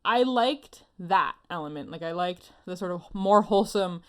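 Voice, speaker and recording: A woman's voice: on the low side, lively intonation, moderate pace. A woman co-hosting a podcast in casual chat, with a giggly, chatty manner. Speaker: podcast co-host.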